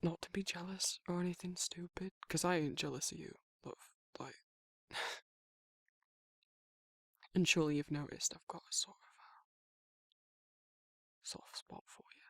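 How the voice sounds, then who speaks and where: A man playing a guarded but soft-hearted werewolf in an intimate ASMR roleplay, talking in a halting, informal way with a husky, gravelly voice. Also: sits high, no echo, clean studio sound. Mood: shy, tender. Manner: hesitant, halting, rambling, informal. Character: guarded, soft-hearted male werewolf shyly confessing affection.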